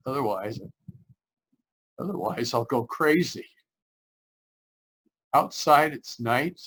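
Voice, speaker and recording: A man acting a distraught traveler in an old-time radio thriller. He speaks slowly, at a medium pitch, with halting, dramatic phrasing, haunted and barely holding himself together. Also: fairly bright tone, rough recording. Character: distraught male traveler, shaken, haunted, near breaking.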